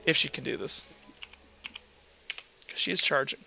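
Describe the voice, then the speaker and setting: A man acting as game master, casually narrating a tabletop roleplay and making rulings, thinking aloud in a halting, informal way. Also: medium pitch, creaky, bright, forceful tone, dull audio, faint music behind.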